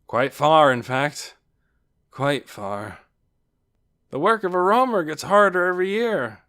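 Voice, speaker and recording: The big boastful brother of a children's audiobook, booming his tall tales across the farmyard, pitched up and hearty, as a male narrator plays him. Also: animated, slow pace, smooth. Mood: hearty, self-important. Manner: loud, boastful, self-repeating.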